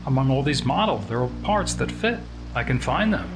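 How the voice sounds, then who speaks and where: A man voicing a logical, single-minded robot in a narrated story, with measured, declarative delivery. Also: mid-range voice, big pitch swings, music in the background. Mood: matter-of-fact.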